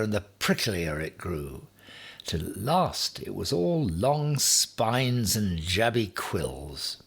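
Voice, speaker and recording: Slow, husky man reading a storybook. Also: on the low side. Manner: deliberate, with dramatic emphasis. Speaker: story reader. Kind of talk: expressive children's storybook narration.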